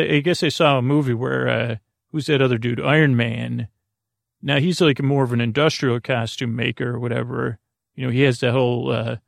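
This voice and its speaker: A man hosting a bedtime podcast in a creaky, soothing voice, meandering haltingly through tangents and interrupting himself to lull listeners to sleep. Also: medium pitch, dry recording, clean studio sound. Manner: soothing, lulling. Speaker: podcast host. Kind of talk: rambling bedtime monologue to help listeners sleep.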